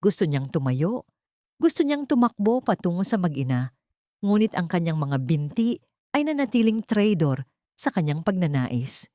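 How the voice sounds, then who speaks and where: Female audiobook narrator, low-pitched and dark-toned, solemn dramatic storytelling. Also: very animated, normal speed, no room sound, clean studio sound.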